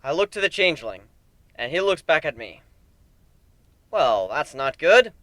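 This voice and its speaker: Theatrical male narrator with a bright voice. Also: fairly high pitch, a little slow, no echo. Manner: theatrical, expressive. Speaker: amateur story narrator. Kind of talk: dramatic reading of fan fiction.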